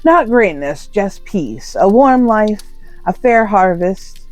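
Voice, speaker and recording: A woman voicing a humble, stubborn young male beekeeper in a folktale, with a plain, measured, earnest delivery. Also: mid-range voice, expressive, darkish tone, pitched above the performer's own voice. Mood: earnest, steady. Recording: roomy echo under faint music.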